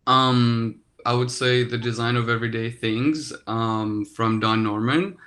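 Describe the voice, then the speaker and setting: A man from Iran talks casually as a guest on a video call, mid-pitched and easygoing. He laughs often and sounds expressive and energetic as he answers. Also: unhurried. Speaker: product designer, interview guest.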